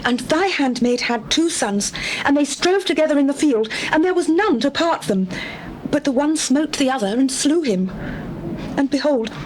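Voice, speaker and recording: A woman's voice: high voice, expressive, moderate pace, darkish tone, a little hiss or hum, poor audio. An actress playing a pleading widow before a king in a dramatized scripture recording, speaking in formal, theatrical, archaic phrases. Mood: anguished pleading.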